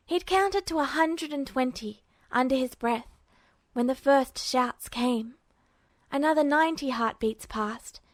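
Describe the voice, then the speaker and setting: A woman's voice: high-pitched, no echo. A woman reading scripted fiction aloud as a storyteller, narrating the action. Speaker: narrator, fan fiction reader.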